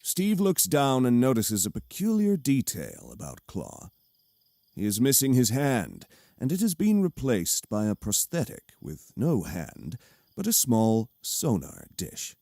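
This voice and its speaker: A man's voice: mid-range voice, huge pitch swings, a little slow, creaky, warm tone, no room sound, clean studio sound, heavily acted. A man narrating an audio drama in a dramatic, scripted style. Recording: music under the voice. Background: cinematic music.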